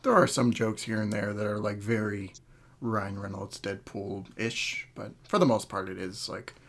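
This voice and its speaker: A man hosting a casual podcast chat, talking in a halting, hedging way as he thinks aloud. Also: fairly low pitch, steady tone, a bit bright, a little hiss or hum. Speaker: podcast host.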